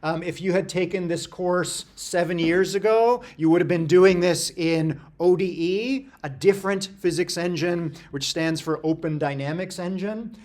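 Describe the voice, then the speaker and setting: Lecturer, male, high pitch, chatty classroom delivery with ums and self-corrections. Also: steady tone, a little slow, few pauses, a little mellow. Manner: conversational, explains with everyday examples, frequent fillers and restarts. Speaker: university lecturer.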